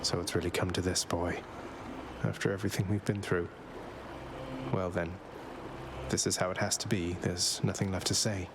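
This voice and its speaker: An actor playing a cheeky, earnest young underworld prince in a video game, dryly polite. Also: male voice, low-pitched, flat delivery, moderate pace, creaky, pauses often, heavy background noise. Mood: earnest, pleading. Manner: dry, polite sarcasm. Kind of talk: video game character acting. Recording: loud game music behind.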